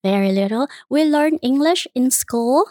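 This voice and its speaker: A woman in her thirties from Vietnam, speaking English as a second language with a Vietnamese accent, answering interview questions in a careful, halting way. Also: high-pitched, expressive, warm tone, dry recording, studio quality. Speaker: interviewee, nun.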